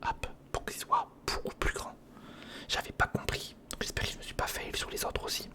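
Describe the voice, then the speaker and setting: An ASMR creator speaking in a breathy, unvoiced whisper, casually thinking aloud and repeating words, close to the microphone. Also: moderate pace. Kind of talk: ASMR, whispered build-along commentary. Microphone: close recorder microphone.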